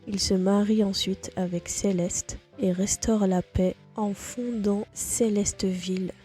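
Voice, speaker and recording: A woman presenting in a measured, explanatory scripted narration. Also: mid-range voice, fairly even intonation, mellow. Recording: music under the voice. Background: background music.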